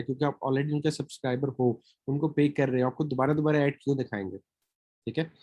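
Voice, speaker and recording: A man's voice: mid-range voice, a little mellow, dry recording. A man from Pakistan with a sore throat, giving a recorded lecture as a marketing trainer, often checking in with his listeners. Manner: explanatory, checks in with "theek hai?" often.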